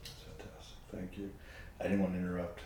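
Deep-voiced man hosting amid heavy echo. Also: completely flat delivery, fairly quick, a loud crowd. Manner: hesitant, many "um"s. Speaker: interviewer host. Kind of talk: conversational interview. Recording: very echoey room with background noise.

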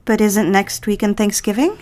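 A woman's voice: medium pitch, moderate pace, darkish tone, lightly acted. A woman playing a bitter, jealous young mother in a period audio drama. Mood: hurt, accusing.

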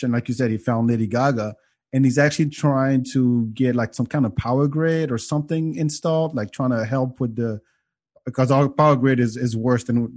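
A man from Senegal hosts a casual podcast at a mid-range pitch. He tells loose, jokey stories and swears freely, keeping the talk relaxed and conversational. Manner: loose, swearing, joking storyteller. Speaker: podcast host.

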